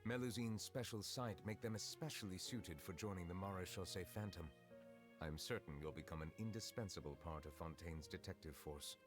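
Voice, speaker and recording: Male actor as reserved, dignified game character; deep register, formal measured delivery, solemn and courteous. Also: faint music behind, close to an everyday voice. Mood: solemn, kindly. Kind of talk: video game voice acting.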